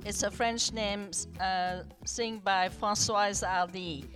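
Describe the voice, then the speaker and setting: A woman's voice: mid-range voice, fairly even intonation, takes it slowly, a bit bright, faint music behind. A woman in her seventies, a native Vietnamese speaker, talking as a podcast guest in hesitant English full of fillers. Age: 70s. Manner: hesitant, many fillers, non-native grammar. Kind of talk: casual interview chat.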